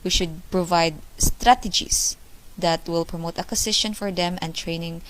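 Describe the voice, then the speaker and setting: A young woman presents a class report in a fairly low voice. She explains each point step by step and restates it with simple examples. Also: fairly even intonation, normal speed, a bit bright, a little hiss or hum, rough recording. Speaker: student presenter.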